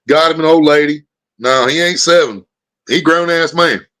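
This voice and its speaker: A man hosts a casual livestream, telling long, rambling, profane stories. His voice sits a bit above the usual pitch for a man. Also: normal speed, pushed and bright, smooth. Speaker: livestream host.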